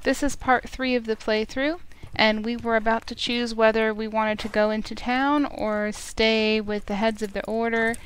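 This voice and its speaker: A woman's voice: on the high side, flat delivery, moderate pace, few pauses, clean tone, low-quality recording. Woman reading a visual novel aloud. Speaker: streamer, reader.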